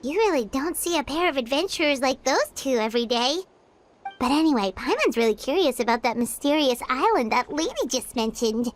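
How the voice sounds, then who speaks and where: A woman voicing a small, chirpy, childlike fairy girl companion in an anime video game, chatty and eager. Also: slight background noise. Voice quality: squeaky, childlike. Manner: refers to herself in third person, exclaims brightly. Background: game music.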